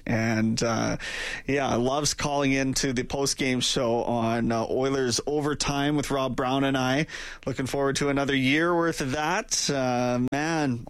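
A man's voice: medium pitch, no echo. A man hosting a sports radio talk show, chatting loosely with fillers about the coming season. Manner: easygoing, chatty, rambling with fillers. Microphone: studio microphone.

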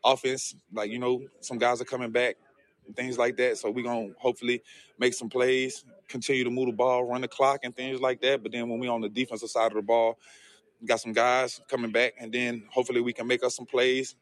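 A man's voice: medium pitch, level tone, brisk. A man who plays football, answering interview questions in a loose, rambling way full of fillers and restarts. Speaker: football player.

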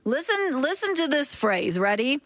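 A radio host with a fairly high woman's voice asks her guest animated, leading questions. Her sound is dry and close, with no room echo. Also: moderate pace. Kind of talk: radio interview.

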